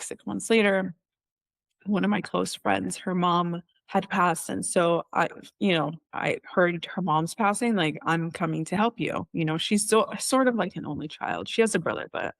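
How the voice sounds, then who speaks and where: A woman in her thirties with a lowish voice tells her own story in a loose, chatty way. She keeps saying "like" and "you know" and slips into quoting herself. The sound is close and dry, with no room around it. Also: normal speed, gravelly, dry recording. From Israel. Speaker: guest. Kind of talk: casual storytelling interview.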